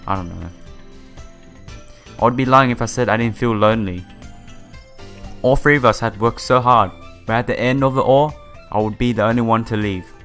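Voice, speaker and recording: Male let's player, mid-pitched, reads story text casually and adds sympathetic asides, music underneath. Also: moderate pace, long pauses, fairly bright tone. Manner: stumbles over read lines, sympathetic asides. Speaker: host of a game playthrough. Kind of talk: casual let's play, reading visual novel text aloud with asides. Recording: music behind the voice.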